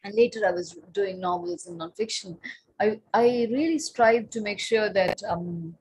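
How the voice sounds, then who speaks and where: A woman's voice: mid-range voice, unhurried, darkish tone, a little hiss or hum, low-quality recording. A woman in her late thirties, a Tamil native speaker, answering on a panel as a writer and translator, thoughtful, with many fillers and restarts.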